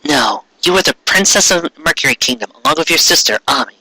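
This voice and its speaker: A man voicing a solemn, gentle queen with a formal, measured, motherly manner in a fan fiction reading. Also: on the high side, lively intonation, dry recording, exaggerated voice, low-quality recording.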